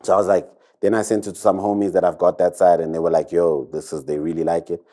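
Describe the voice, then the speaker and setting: A man's voice: low-pitched, little pitch movement, quick pace, warm tone. A man, a recording artist, reflecting in a rambling casual chat full of fillers. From South Africa.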